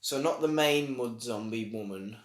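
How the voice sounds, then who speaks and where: A man's voice: mid-range voice, moderate pace. Sarcastic podcast guy, echoey room. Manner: sarcastic, swears, trails off mid-sentence. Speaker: podcast co-host. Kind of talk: casual review chat.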